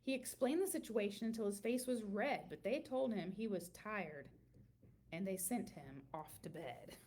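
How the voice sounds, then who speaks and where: A woman reading a children's picture book aloud in an expressive storytelling manner, with dramatic emphasis and drawn-out words. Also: medium pitch, slight background noise.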